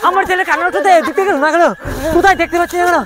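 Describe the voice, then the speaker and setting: A man's voice: very high voice, steady tone, very fast. A man playing an anxious village woodcutter and father in an amateur horror drama, speaking in repetitive exclamations. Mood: panicked.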